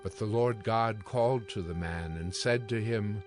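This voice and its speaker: Man reading scripture as narrator, fairly deep voice, music playing behind. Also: unhurried.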